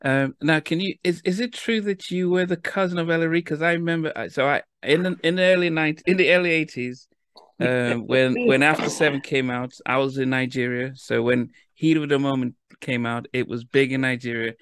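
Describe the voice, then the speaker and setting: A man from the UK hosting an interview, talking in a halting way with lots of restarts. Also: a little high, fairly even intonation, a bit bright, clear, resonant tone, no echo. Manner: halting, restarts often, laughs easily. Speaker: interview host. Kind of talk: casual interview chat.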